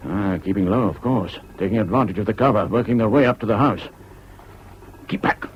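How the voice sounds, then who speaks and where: An actor playing a deluded old-school English General with a clipped, barking military manner in a radio drama. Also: male voice, lowish voice, brisk, close to an everyday voice. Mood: urgent, conspiratorial. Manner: clipped, barking, repeats himself. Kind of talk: British radio drama acting. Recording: dull, hissy old radio recording.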